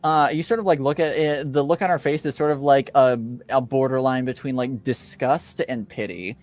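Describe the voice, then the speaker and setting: A man narrating a tabletop roleplaying game as game master, halting as he searches for words. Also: medium pitch, a little mellow, faint music behind.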